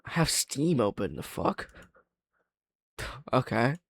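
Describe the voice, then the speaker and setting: A man's voice: medium pitch, very animated, slow pace, vocal fry, no room sound. An opinionated man hosting a casual reaction video, swearing freely and speaking close to the mic.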